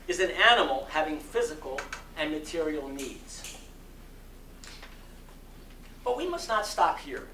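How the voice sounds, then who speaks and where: A man delivers a dramatic sermon reading in a forceful, bright voice. His phrasing is slow, deliberate and oratorical. The sound is echoey, as if picked up at some distance in a hall. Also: sits high. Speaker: speaker giving a dramatic reading. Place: community center hall. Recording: roomy echo, distant mic, faint noise.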